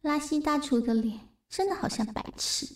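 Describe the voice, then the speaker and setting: Radio drama kid sister: very high voice, blunt, teasing, opinionated, Taiwanese accent. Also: female voice, lively intonation, normal speed, dry recording, studio quality. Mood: deadpan. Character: bold, cheeky young daughter with grown-up opinions. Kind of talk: Mandarin radio drama acting.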